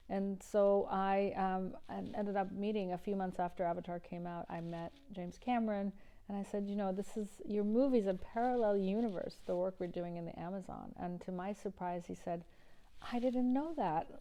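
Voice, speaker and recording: A middle-aged woman from Iran speaks as an interview guest, her voice low, gravelly and dark in tone. She talks earnestly and evenly, often pausing on fillers and restarting her sentences. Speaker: environmental activist guest. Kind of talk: interview answers.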